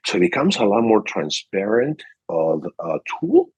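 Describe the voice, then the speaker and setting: A man's voice: lowish voice, wildly swooping pitch, normal speed, darkish tone, slightly rough. A man in his sixties from Peru with a Peruvian Spanish accent, telling casual anecdotes as an interview guest and animatedly acting out quoted lines. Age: 60s. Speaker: interview guest, car dealership director. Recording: dull, echoey room sound.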